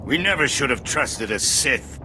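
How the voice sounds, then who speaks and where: Sharp, hot-tempered gang boss. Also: male voice, medium pitch, animated, measured pace, a little hiss or hum. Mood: outraged. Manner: indignant, combative. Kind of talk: sci-fi video game acting.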